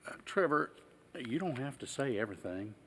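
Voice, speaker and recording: A man playing a patient, shrewd small-town sheriff in a film, halting and folksy in a deadpan, polite way. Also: mid-range voice, a little hiss or hum, natural voice. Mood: dry, methodical. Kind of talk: naturalistic film acting.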